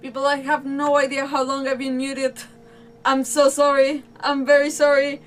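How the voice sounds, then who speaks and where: High-voiced woman, rambling casual streamer. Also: completely flat delivery, normal speed, distant mic, faint music behind. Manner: rambling, self-deprecating, apologetic. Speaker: livestream host. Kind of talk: casual live stream chat.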